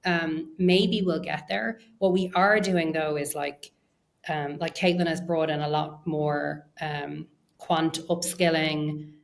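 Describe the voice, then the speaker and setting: A woman's voice: low-pitched, steady tone, takes it slowly, vocal fry. A woman speaking as an executive guest in an interview, explaining conversationally and thinking aloud with hedged phrasing. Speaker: interview guest, research and data science executive.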